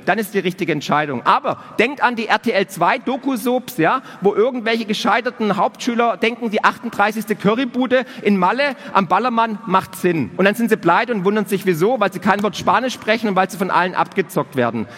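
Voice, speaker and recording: A man's voice: high voice, lively intonation, a little fast, hardly any pauses, fairly bright tone. A man hosting an event, giving a blunt, sarcastic, comedic talk to an audience. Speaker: event host and speaker. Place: large hall, on stage. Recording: long hall reverb.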